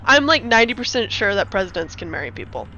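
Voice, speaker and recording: A woman's voice: mid-range voice, big pitch swings, quick pace, pushed and bright, dull audio, slight background noise. A woman streamer giving a comic dramatic reading of a screenplay with casual, giggly asides. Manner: giggly, self-interrupting.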